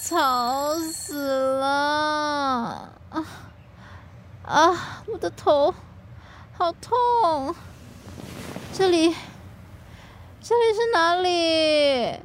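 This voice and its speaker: An anxious, fragile young wife in a radio drama, with a very high voice and a slow, drawn-out delivery. She speaks Mandarin. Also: slight background noise. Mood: groggy, unwell. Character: anxious young wife, tipsy, later hungover and fretful.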